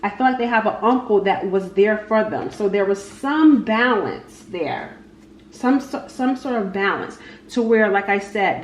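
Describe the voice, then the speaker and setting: Woman, tarot reader, mid-pitched voice, halting and repetitive, casual delivery, echoey room, faint noise. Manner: halting, repeats phrases, talks to spirit and cards. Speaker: tarot reader, channel host. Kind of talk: casual tarot card reading, unscripted.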